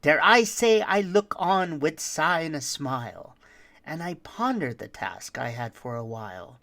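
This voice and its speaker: Someone playing a cocky thief telling a rhyming yuletide story, super dramatic n expressive, takes it slow. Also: mid-range voice, creaky. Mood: sly, wistful. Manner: dramatic, deliberate delivery. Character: roguish boastful thief telling a rhyming holiday tale. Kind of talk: theatrical rhymed storytelling.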